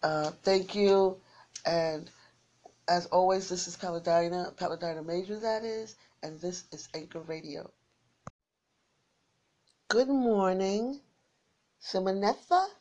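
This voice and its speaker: A tired woman who is losing her voice, rambling through friendly shout-outs to listeners on her small internet radio station. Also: on the low side, takes it slowly, pauses often, dull audio. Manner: rambling and warm, repeats her sign-off.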